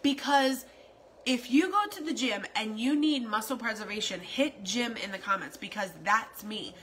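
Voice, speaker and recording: A woman in her forties rambles and repeats herself as she promotes a wellness product during a live phone stream in her home kitchen. Her voice is bright and forceful and sits a little high. A faint hiss runs underneath. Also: moderate pace. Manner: chatty, rambling, repetitive, salesy. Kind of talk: casual live video promo. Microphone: phone camera at arm's length.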